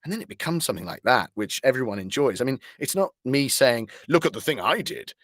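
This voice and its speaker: A man's voice: medium pitch, sing-song, quick pace, fairly bright tone, no room sound, clean studio sound. A man speaking as a voice actor being interviewed, wordy and articulate, with whimsical turns of phrase. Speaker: guest, voice actor. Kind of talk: conversational interview.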